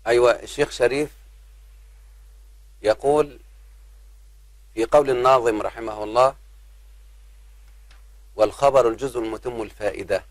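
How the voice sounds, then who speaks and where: A man, teaching an Arabic grammar lesson. He talks patiently, addresses his students as his children and slows down on examples. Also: mid-range voice, fairly even intonation, no room sound. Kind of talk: grammar lesson explaining verse.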